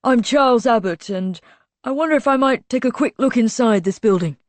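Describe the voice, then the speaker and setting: Brief: polite middle-aged male director, read by a woman, measured and reserved, dry close sound. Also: fairly high pitch, no echo, voice pushed up, lightly acted. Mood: firm.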